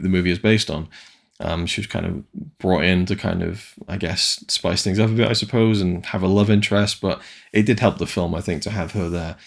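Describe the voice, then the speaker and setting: A man's voice: low-pitched, gravelly. A man from Wales giving a casual, rambling film review, full of hesitant restarts.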